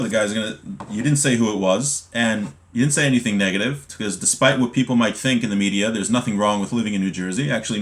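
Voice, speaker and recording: Conversational American man teaching a class. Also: fairly low pitch. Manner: conversational, explanatory, checks in with "right?". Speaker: religion teacher giving an online class. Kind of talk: informal text study, reading aloud then explaining with examples.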